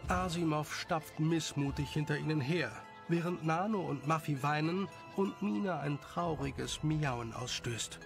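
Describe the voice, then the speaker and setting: Higher-pitched male storyteller, slightly creaky. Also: unhurried. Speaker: narrator. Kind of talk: scripted present-tense story narration. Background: music.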